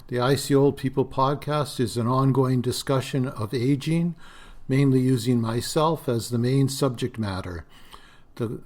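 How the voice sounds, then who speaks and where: A man in his sixties hosting a solo podcast, talking in a rambling, reflective way with frequent fillers; his voice is slightly raspy and dry. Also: medium pitch, a little slow, darkish tone. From Canada. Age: 60s. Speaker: podcast host.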